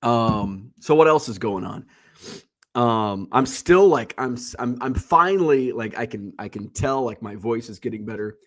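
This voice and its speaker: A man's voice: mid-range voice, animated, moderate pace. Congested male stream host, loose rambling delivery, blunt and profane, mid-range voice. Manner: blunt, rambling, swears freely. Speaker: livestream host. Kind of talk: casual solo chat.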